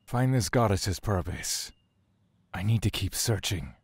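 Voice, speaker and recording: An actor playing a stern, dutiful god of death in a fantasy audio drama, speaking in a stiff, curt, commanding manner. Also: male voice, fairly low pitch, expressive, measured pace, breathy edge, no room sound, full character voice. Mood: cold, impatient.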